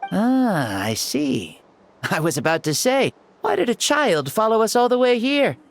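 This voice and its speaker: Actor playing a joking ancient fire god in a child's body, lively pitch swings, faint game music behind. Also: a little slow. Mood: playful. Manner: playful, joking, self-assured. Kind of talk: video game cutscene dialogue.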